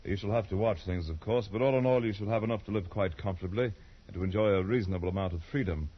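A courteous, fussy London banker in an old radio play. He talks quickly in a lowish, husky voice, proper and polite. The vintage recording is dull. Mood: businesslike. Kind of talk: post-war radio drama acting.

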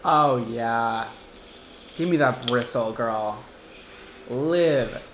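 A man in his twenties giving casual, exclamatory reaction commentary to camera in a campy, animated manner. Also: medium pitch, extremely slow, roomy sound, a little hiss or hum. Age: 20s. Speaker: hairdresser and video host. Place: indoor studio with paper backdrop.

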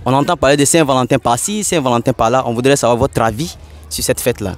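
A man's voice: mid-range voice, fast. A young man with a Togolese French accent presenting street interviews to camera on a handheld mic outdoors. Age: young adult. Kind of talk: vox pop presenting to camera. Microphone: small handheld mic held at mouth.

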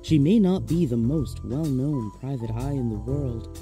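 A man's voice: mid-range voice, animated, unhurried, mellow, soft background music, lightly acted. A man playing a suave, teasing announcer introducing an old-time style radio detective drama.

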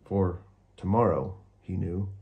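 A man reading a children's storybook aloud in expressive narration, with dramatic emphasis. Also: low-pitched, slow pace, vocal fry, phone-quality sound, slight background noise. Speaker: storybook reader.

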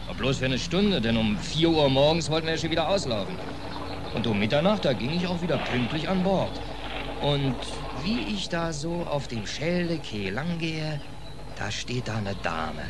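A man's voice: fairly high pitch, expressive, normal speed, lightly acted. An actor playing a naive, rueful sailor in a 1940s radio play, telling his story in a colloquial, hesitant, musing way. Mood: chatty, rueful. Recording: noisy old 1940s radio recording.

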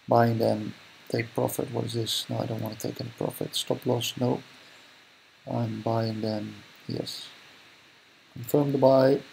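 A man's voice: medium pitch, little pitch movement, a little slow, vocal fry, a little mellow, slight background noise, rough recording. A young Dutch man with a Dutch accent, thinking aloud and correcting himself as he talks through a screen recording. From Netherlands. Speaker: investing vlogger. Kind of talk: casual screen-recording commentary.

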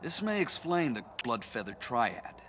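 An actor playing a calm, polite gang leader with a formal, measured way of speaking. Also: male voice, mid-range voice, a little hiss or hum.

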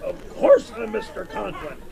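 A man's voice: very high voice, huge pitch swings, moderate pace, a loud crowd, very noisy, exaggerated voice. A man playing a blustery older man in a theatrical old-time radio comedy style. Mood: giddily jolly. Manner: theatrical, exclamatory. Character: blustery older man, grumpy then giddily jolly.

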